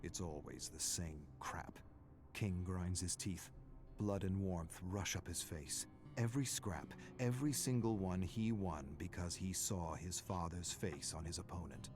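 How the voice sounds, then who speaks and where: A man reading a dramatic scripted story in a measured, brooding way, in short clipped phrases. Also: sits low, music in the background. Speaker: narrator.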